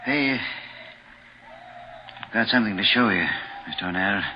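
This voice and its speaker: An actor playing a solemn, matter-of-fact police officer in a 1940s radio thriller, plain-spoken. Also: male voice, on the low side, normal speed, slight background noise, lightly acted. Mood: solemn, bracing. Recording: muffled 1940s radio broadcast, music behind.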